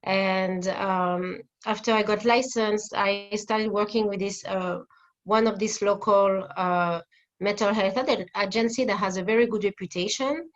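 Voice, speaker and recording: French-accented woman, halting and thoughtful. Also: medium pitch, steady tone, highs cut off. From France. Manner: hesitant, with many fillers and restarts. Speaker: guest therapist. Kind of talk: interview answers.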